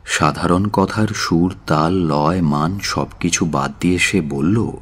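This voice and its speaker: A man narrating a dramatic audio story in a measured, solemn and expressive way. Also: low-pitched, husky. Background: faint music.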